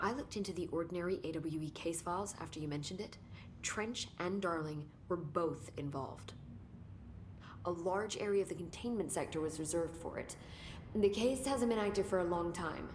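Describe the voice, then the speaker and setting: An actress playing a curious, analytical government researcher in a video game, inquisitive and articulate. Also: female voice, on the low side, slight background noise, lightly acted. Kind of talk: video game cutscene acting.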